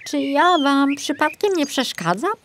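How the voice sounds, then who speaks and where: A woman's voice: sits high, sing-song, measured pace, a bit bright, slight background noise, pitched above the performer's own voice, heavily acted. A woman voicing an easily rattled, flustered male forester in a children's storybook. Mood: bewildered. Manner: hesitant, stammering when nervous.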